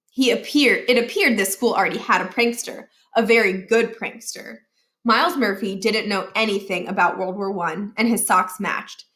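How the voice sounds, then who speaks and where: A woman reading a children's novel aloud as an expressive storyteller. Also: mid-range voice. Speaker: storybook reader.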